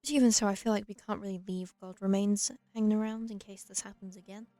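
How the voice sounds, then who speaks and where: Mellow-voiced woman casually commentating a game. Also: medium pitch, steady tone, fast, no echo. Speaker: let's play streamer. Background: video game music.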